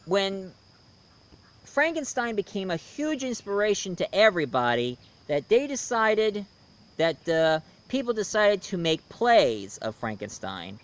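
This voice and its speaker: Clear, higher-voiced man reviewing films casually. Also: big pitch swings, measured pace. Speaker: reviewer, host. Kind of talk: casual rambling film review commentary.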